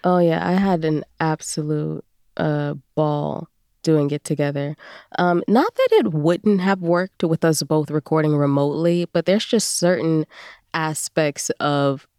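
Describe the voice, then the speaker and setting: A woman speaking as a guest performer in a casual interview, with an expressive, emphatic way of talking. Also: low-pitched, a little slow, smooth, no room sound, clean studio sound.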